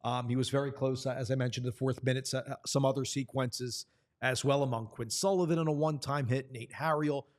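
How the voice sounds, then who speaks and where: A man's voice: mid-range voice, steady tone, brisk. A man who works as a play-by-play announcer, talking earnestly and supportively about players in a sports conversation.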